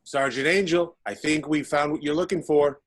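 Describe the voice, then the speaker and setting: A man's voice: a little high, fairly even intonation, moderate pace, lightly acted. A man reading a grumbling CCTV watchman. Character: gruff male village CCTV operator. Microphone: video call.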